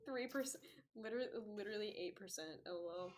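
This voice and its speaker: A woman's voice: medium pitch, lively intonation, fast talker, a little hiss or hum. A woman running a tabletop role-playing game, talking casually and rambling with lots of fillers and easy laughter. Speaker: game master.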